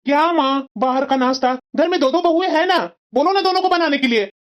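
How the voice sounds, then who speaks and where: A woman's voice: high-pitched, brisk, highs cut off, natural voice. A woman voicing the family's young son in a cartoon. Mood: arguing.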